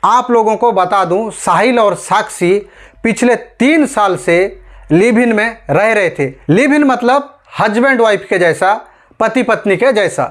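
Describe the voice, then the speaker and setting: A man from India with a high-pitched voice delivers scripted news commentary for an online audience. He speaks emphatically, leaning on rhetorical questions and addressing listeners directly as friends. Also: lively intonation, measured pace.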